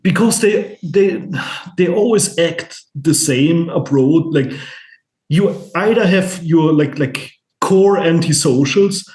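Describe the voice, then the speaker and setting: A man with a German accent, a native German speaker, talking as a podcast guest in halting speech with many restarts and free swearing. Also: a little high, darkish tone. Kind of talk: casual conversation. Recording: roomy echo.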